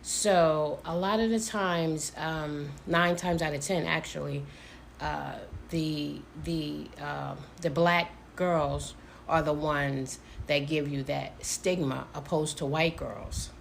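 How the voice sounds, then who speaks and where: Low gravelly mother talking slowly. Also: fairly even intonation, slight background noise. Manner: measured, hesitant with fillers. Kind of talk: casual conversation.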